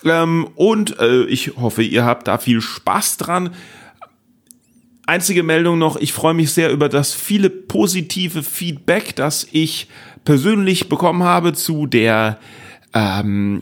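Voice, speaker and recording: A man's voice: fairly high pitch, expressive, slow pace. A man hosting a comedy podcast in casual chat, playful, repeating words for comic effect. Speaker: podcast host.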